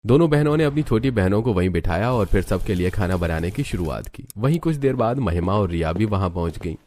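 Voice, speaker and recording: A man's voice: on the low side, expressive, a little fast, warm tone, a little hiss or hum. A man narrating a scripted family cartoon story.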